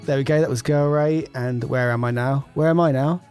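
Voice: mid-range voice, steady tone, fairly quick, a little mellow, soft background music. A podcast host in casual chat who often stammers at the start of questions and giggles. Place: podcast room.

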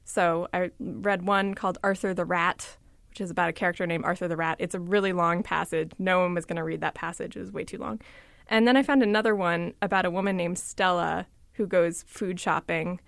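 A woman from a suburb of Washington, D.C., working as a reporter, telling stories in an animated, lively way. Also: fairly low pitch, brisk, vocal fry, no echo, clean studio sound. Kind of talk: casual podcast chat.

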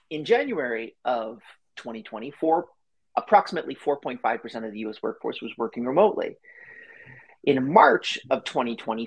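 Male guest, slightly husky, easygoing storyteller on a remote call. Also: mid-range voice, low-quality recording. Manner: quick, chatty storyteller who laughs easily. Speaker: podcast guest, company founder. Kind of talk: casual interview talk.

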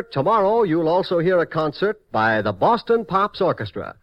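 Male promo announcer, animated, fairly high, dull dry fifties radio sound. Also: no room sound.

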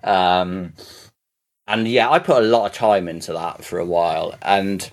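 A man chatting casually on a podcast, rambling with many restarts and swearing now and then as he gets excited. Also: deep voice, a little slow. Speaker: podcast co-host. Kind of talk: casual podcast chat about games.

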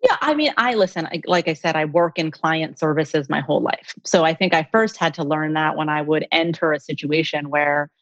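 A woman's voice: low voice, moderate pace, few pauses, reverberant, lo-fi sound. A middle-aged American woman from Colorado, giving articulate, reflective answers as an interview guest. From Colorado, United States. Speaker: interview guest, communications executive.

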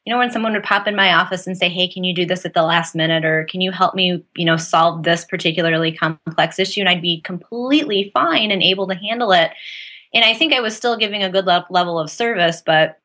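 A woman with a lowish voice and a bright, forceful tone, speaking as an interview guest. She tells stories candidly and with animation. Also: fast talker. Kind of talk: casual interview storytelling.

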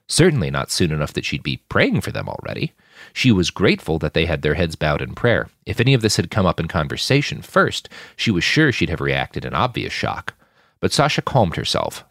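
A man's voice: fairly low pitch, no room sound, studio quality. A man reading scripted fiction as an audiobook narrator.

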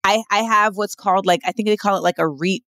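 A woman guest with a general American accent, speaking in a candid interview in a direct, emphatic way, with quick asides. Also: bright, forceful tone, no echo, clean studio sound. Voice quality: says she has a deep voice.

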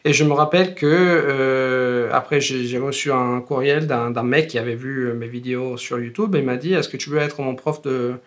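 A man in his thirties from Italy with an Italian accent, talking as a language-teacher guest in a relaxed, rambling way with many fillers and restarts. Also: medium pitch, moderate pace, few pauses. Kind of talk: casual conversational interview.

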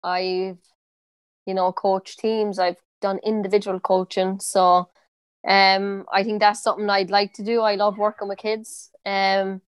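A young Irish woman speaking with a strong Cork accent, chatting easily through an interview and often pausing on "um" and "you know". Her voice is smooth and clear, with a fairly flat, even pitch. The sound is dry and close, with no room around it. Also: no echo. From Ireland. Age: 20s. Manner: relaxed, many fillers and restarts. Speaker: interviewee, footballer. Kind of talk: casual interview.

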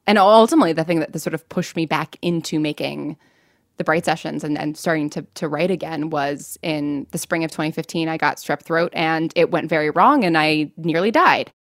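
Casual interviewee: young American woman, lowish voice, chatty and self-deprecating, recorded dry. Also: brisk, dry recording. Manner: chatty, self-deprecating, laughs while talking. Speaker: podcast creator and actor interviewed. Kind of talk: casual personal storytelling in an interview.

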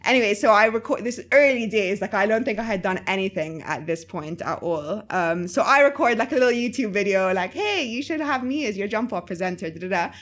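A woman's voice: mid-range voice, animated, fairly quick, hardly any pauses, a bit bright. A woman from Egypt with a slight Egyptian-influenced accent in English, chatting casually as an interview guest, full of fillers and easy laughs. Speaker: guest, writer.

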